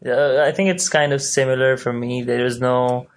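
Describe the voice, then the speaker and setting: A man's voice: medium pitch, fairly even intonation, normal speed. A young man from Pakistan co-hosting a casual student podcast, talking in an easygoing, joking way with lots of fillers. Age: young adult. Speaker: podcast co-host, university student. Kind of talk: casual chat.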